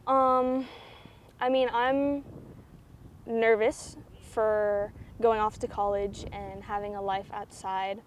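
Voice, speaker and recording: Teen girl guest, reflective, filler-heavy, mid pitch, light ambient noise. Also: flat delivery, takes it slowly. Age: teens. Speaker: student athlete guest. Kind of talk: candid interview answers. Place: school campus, indoors.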